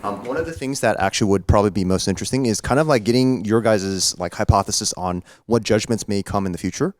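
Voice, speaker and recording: A man in the audience asking a question, loud-voiced. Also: lowish voice. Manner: loud, quick. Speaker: audience member.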